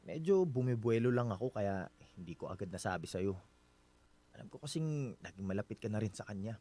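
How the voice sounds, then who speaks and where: A man with a Filipino accent playing a gentle, considerate husband in a radio drama, talking in a reassuring, conversational way. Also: fairly low pitch, lively intonation, brisk. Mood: reluctant.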